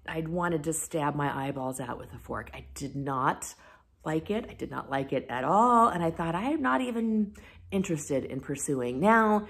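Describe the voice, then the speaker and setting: A woman talking casually and candidly to her viewers as a book vlogger, leaning on key words for emphasis. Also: on the low side. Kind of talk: casual chatty monologue to camera about reading plans.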